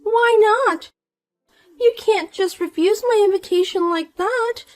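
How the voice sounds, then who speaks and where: A woman's voice: very high voice, takes it slowly, pitched above the performer's own voice. A woman voicing a vain, spiteful young woman who mocks and pushes others around. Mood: taunting. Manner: mocking, pushy, self-important.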